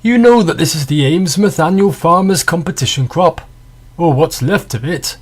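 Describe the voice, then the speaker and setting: A man's voice: a little high, lively intonation, slightly rough, voice pushed up. A man doing a bewildered, nervous farmer. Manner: hesitant, confused, slow. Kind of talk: storybook reading.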